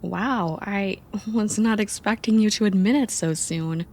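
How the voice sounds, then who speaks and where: Woman acting a soft, mellow, affectionate young friend. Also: mid-range voice, a little slow. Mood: teasing. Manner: soft, playful, expressive. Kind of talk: intimate ASMR roleplay acting.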